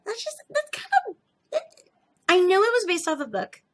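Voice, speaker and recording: A young woman with a slightly gravelly edge, chatting casually and emphatically as a podcast host, often restarting her sentences. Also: very high-pitched, moderate pace. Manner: animated, emphatic, self-interrupting. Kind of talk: casual rambling chat to viewers.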